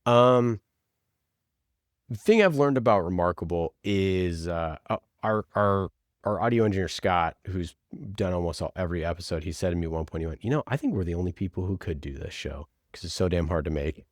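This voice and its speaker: A man with a low, deep voice talks as a guest in a casual interview. He is emphatic and informal, often slipping into little quoted asides. The sound is close and dry. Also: fairly quick, dry recording. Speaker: podcast producer, interview guest. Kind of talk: casual conversational interview answers.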